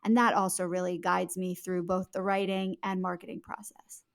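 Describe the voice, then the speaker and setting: A woman speaking as an interviewed author in a conversational podcast style, explaining her points emphatically in measured phrases. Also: on the low side, little pitch movement, gravelly.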